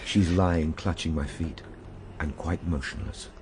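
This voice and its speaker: Very deep-voiced, grave time traveler. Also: male voice, unhurried, noisy background. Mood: grave, tense. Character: male time traveler recounting his ordeal, grave and weary. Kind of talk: dramatic first-person radio drama narration. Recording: radio drama over loud background ambience.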